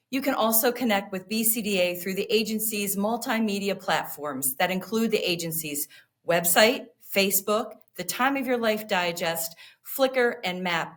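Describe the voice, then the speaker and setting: Formal woman hosting a county TV show. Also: mid-range voice. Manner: measured, formal, reading a prepared script. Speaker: host. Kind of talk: scripted public-service show introduction.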